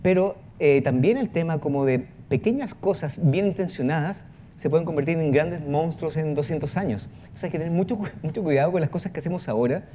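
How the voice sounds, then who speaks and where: A man talking spontaneously as a panel guest, halting and repeating words as he goes. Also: on the high side, mellow. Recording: clear background ambient noise.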